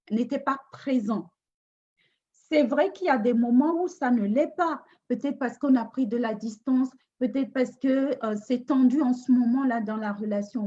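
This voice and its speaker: A woman's voice: high-pitched, warm tone. A woman coaching on relationships in a live talk, hesitant and thoughtful with frequent restarts. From Africa. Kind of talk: unscripted live talk answering a question. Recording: dull lo-fi live stream audio.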